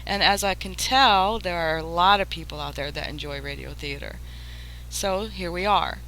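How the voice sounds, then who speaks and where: A woman's voice: fairly low pitch, moderate pace, bright, forceful tone, slight background noise, low-quality recording. A woman hosting a casual chat with radio performers, thanking them and putting questions to them. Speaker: radio show creator and host.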